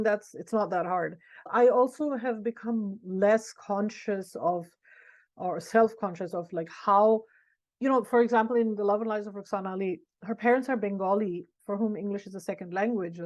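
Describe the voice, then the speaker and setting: A woman's voice: mid-range voice, darkish tone. A middle-aged woman author answering interview questions in a frank, reflective way, thinking aloud as she goes. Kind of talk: candid conversational interview answers. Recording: dull lo-fi audio.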